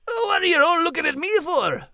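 A cheeky scottie dog, scottish accent, blunt, pitch all over the place, dry recording. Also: very animated, a little fast, sharp tone, no room sound, full character voice. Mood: defensive. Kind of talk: scripted comic podcast skit.

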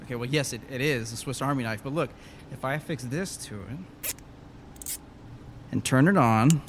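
An actor playing an eager, slightly hesitant inventor scientist in a comic sci-fi audio drama. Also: male voice, medium pitch, moderate pace, slight background noise, close to an everyday voice. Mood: eager, insistent.

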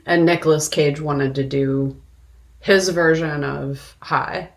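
A woman with a somewhat low-pitched voice co-hosts a film podcast. She talks in an informal, conversational way, casually sharing her thoughts in everyday phrasing. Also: fairly even intonation, slow. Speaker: podcast co-host.

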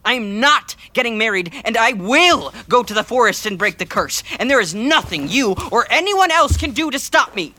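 A man's voice: very high-pitched, very animated, normal speed, hardly any pauses, sharp tone, full character voice. A man playing an exasperated, headstrong teenage prince of about eighteen in an audio fairytale, incredulous and protesting. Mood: angry, defiant. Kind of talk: scripted audio fairytale comedy acting.

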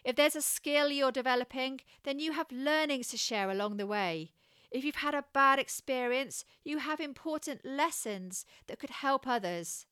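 A woman with a West Country English accent, giving scripted coaching advice in a measured, encouraging way. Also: high voice, a bit bright, smooth, no room sound, clean studio sound. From England. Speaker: communication coach.

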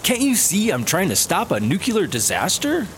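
A man as a cocky, animated slacker buddy. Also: high voice, normal speed, background noise, full character voice. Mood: exasperated. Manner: breezy, argumentative. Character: cocky, laid-back, hungry buddy-cop slacker. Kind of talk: sci-fi comedy audio drama acting.

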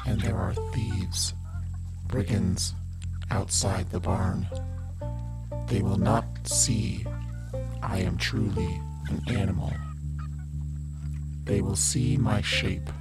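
A woman voicing a grave, doomed animal-like spirit in hiding, solemn and measured, with a pitched-down effect, in an audio drama. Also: steady tone, poor audio. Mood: solemn dread. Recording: loud music over voice.

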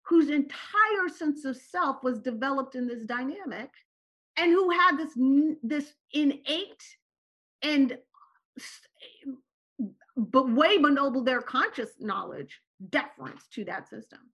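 A woman's voice: sits high, slow pace. A woman whose first language is Turkish, telling personal stories as a guest and often adding "right?" to her sentences. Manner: animated, tags phrases with "right?". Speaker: podcast guest. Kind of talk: personal storytelling in conversation.

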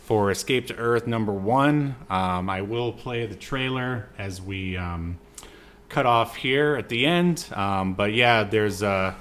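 A man's voice: lowish voice, slow, fairly bright tone. A man hosting a podcast in casual chat, with an easygoing, rambling way of talking. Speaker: podcast host.

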